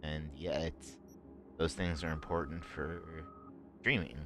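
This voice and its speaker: A man streaming a game, talking in a rambling, halting way with many fillers, his voice a bit rough and strained. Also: rumbling low, little pitch movement, background noise. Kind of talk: casual gameplay commentary.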